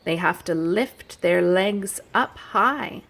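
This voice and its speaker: A woman who teaches young children, chatting and reading aloud gently in simple, unhurried phrases with little explanations. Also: lowish voice, vocal fry, a little hiss or hum. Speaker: childcare educator leading story and song time for toddlers.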